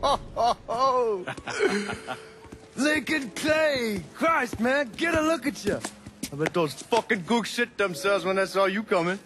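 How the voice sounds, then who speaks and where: An actor playing a boisterous, foul-mouthed young American gangster in a video game cutscene. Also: male voice, high voice, lively intonation, slow pace. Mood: excited greeting.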